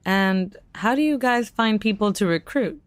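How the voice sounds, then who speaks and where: Actress as a skeptical, wary young friend in a sci-fi audio drama; casual delivery, dry close sound. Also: female voice, medium pitch, expressive, normal speed, no room sound, natural voice. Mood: guarded, curious. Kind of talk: full-cast audio drama acting.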